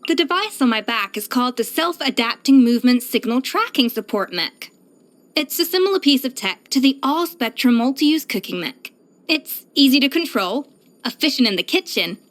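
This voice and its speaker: An actress voicing a proud, exacting young pastry chef in a video game, poised, self-assured and precise in manner. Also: female voice, high-pitched, very animated, moderate pace. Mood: poised, confident. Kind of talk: scripted video game character voice lines.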